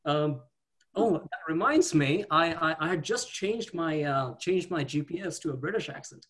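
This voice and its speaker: A man from India doing a stand-up set on a video call, talking haltingly with repeated words and dry punchlines. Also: a little high, lively intonation, a bit bright. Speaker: stand-up comedian.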